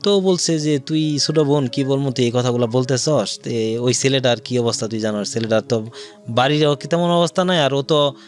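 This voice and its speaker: A man's voice: medium pitch, fairly quick, soft background music. A man with a Bangladeshi accent tells his own life story as an interview guest, in detail and with quoted dialogue.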